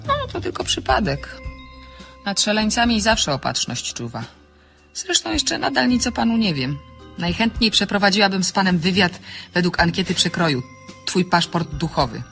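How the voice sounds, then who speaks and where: An actress playing a witty, outspoken single woman in an audio drama, bantering briskly with polite irony. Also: mid-range voice, sing-song, creaky, fairly bright tone, faint music behind. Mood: ironic, probing. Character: witty, outspoken single woman writer, teasing and self-ironic. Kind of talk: Polish audio drama dialogue.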